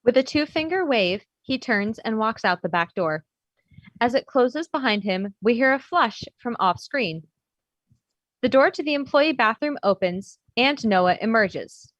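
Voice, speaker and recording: A woman's voice: a little high, lively intonation, measured pace, a bit bright, no room sound. A woman narrating the stage directions of a script at a table read. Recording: dull, slightly lo-fi audio.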